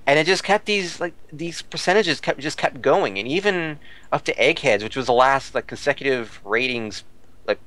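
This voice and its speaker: A man's voice: medium pitch, moderate pace, fairly bright tone. A man is talking in a casual podcast discussion. He explains things thoroughly and reports details as he goes. He often digresses to add context before returning to his point. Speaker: podcast panelist.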